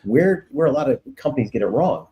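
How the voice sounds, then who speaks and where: A middle-aged man, a guest on an interview, making emphatic points and reasoning out loud with restarts. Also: medium pitch, lively intonation, a little fast, lo-fi sound. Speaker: guest, relocation author. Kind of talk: casual interview answers.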